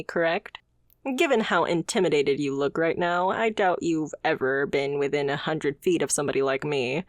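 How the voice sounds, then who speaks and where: A woman's voice: sits low, dry recording, clean studio sound. A woman playing a confident, haughty supervillain boss in a scripted ASMR roleplay, speaking with teasing, condescending poise. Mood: businesslike, amused. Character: confident female supervillain boss, haughty yet playfully amused.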